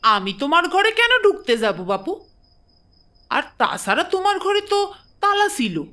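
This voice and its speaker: A man's voice: extremely high pitch, big pitch swings, measured pace, bright, forceful tone, pitched above the performer's own voice, full character voice. A man voicing a shifty middle-aged village landlady who scolds and fakes crying. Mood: indignant. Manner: whiny, fake-crying, accusing.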